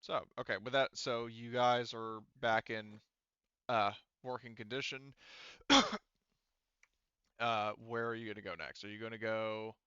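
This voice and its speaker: Clear, bright-voiced man running a tabletop game. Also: mid-range voice, steady tone, measured pace, no echo, dull audio. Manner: rambling, explanatory, casual swearing. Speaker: game master. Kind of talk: casual tabletop game narration.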